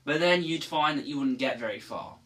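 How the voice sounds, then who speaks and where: A man hosting his own gaming channel walks through a game's story and features in a loose, rambling way. His voice is bright and forward, and he catches and corrects himself mid-sentence. He sounds a little distant from the microphone. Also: a little high, fairly quick. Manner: rambling, self-correcting, offhand. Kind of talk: casual game lore explainer.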